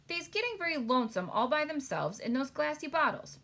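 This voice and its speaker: A woman's voice: sits high, big pitch swings, brisk, pushed and bright, voice pushed up, heavily acted. A woman voicing a big, good-natured male storybook giant who talks in quaint mangled grammar. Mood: eager.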